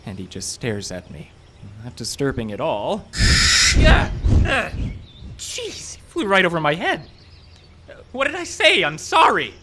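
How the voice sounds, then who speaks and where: A man's voice: a little high, huge pitch swings, measured pace, long pauses, sharp tone, heavily acted. A man playing a hapless, self-doubting filmmaker in a live audio drama, rambling in a jittery, self-mocking way. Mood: uneasy, then shaken. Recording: outdoor live stage, faint background noise.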